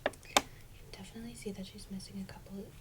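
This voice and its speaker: A young adult woman speaking softly in a breathy, whispery voice, in a gentle, casual ASMR unboxing style. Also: mid-range voice, level tone, racing, heavy background noise. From Mexican by nationality or heritage. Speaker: ASMR video creator. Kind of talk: soft-spoken ASMR unboxing.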